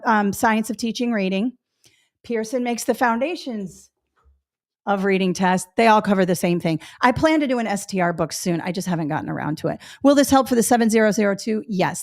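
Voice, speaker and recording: A woman running a test-prep webinar, explaining reading instruction in a conversational, encouraging way with frequent fillers and check-ins. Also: a little high. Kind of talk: live webinar teaching and reading chat questions.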